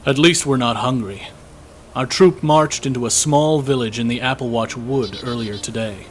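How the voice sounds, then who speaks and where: A man playing a weary, guilt-ridden young imperial soldier in a fantasy audio drama, reading his journal in a measured, reflective way over music. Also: medium pitch, noisy background, lightly acted. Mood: guilty, bitter.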